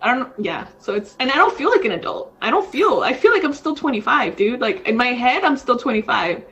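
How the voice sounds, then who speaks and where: A woman's voice: on the high side, very animated, fairly quick, a bit bright. A woman in her thirties, co-hosting a podcast in casual chat, rambling with lots of fillers. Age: 30s. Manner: rambling, frequent fillers, says dude. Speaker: podcast co-host.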